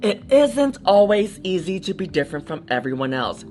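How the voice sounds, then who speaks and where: A woman's voice: on the low side, sing-song, normal speed, a little mellow, slight background noise, voice pushed up. A woman voicing a loving, reassuring mother in a children's storybook, tender and singsong. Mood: gentle, reassuring. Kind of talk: storybook character voice.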